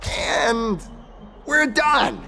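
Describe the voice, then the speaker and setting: An actor playing an excitable, bashful young explorer in a video game, stammering eagerly as he talks. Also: very high-pitched, drawn-out words, vocal fry, a little hiss or hum, exaggerated voice. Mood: eager, boastful. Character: excitable, bashful young male would-be explorer of a holy city. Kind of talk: video game character acting.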